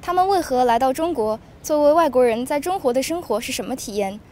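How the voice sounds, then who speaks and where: A woman host giving a scripted introduction in a measured, formal way. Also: high-pitched, lively intonation, darkish tone, slight background noise. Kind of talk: scripted narration.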